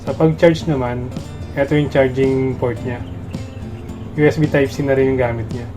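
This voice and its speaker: A man's voice: mid-range voice, steady tone, normal speed, music in the background. A man with a Filipino accent demonstrates a product step by step in a casual, instructional way.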